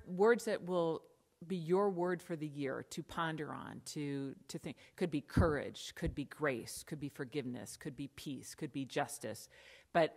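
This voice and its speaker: Low-voiced American woman pastor preaching. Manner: conversational preaching with asides and emphatic lists. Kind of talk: sermon. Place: church sanctuary, at the pulpit.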